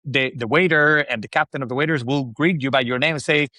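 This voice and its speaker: A man's voice: medium pitch, fast talker, sharp tone, no echo. Venezuelan-accented man, close dry sound. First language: Spanish. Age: middle-aged. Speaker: interview guest, spirits industry executive. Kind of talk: conversational interview storytelling.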